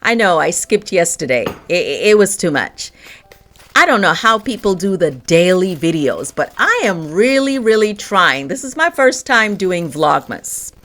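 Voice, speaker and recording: A woman's voice: fairly low pitch, sing-song, unhurried, fairly bright tone. A woman vlogger chatting casually through a baking tutorial in her home kitchen, laughing often between steps.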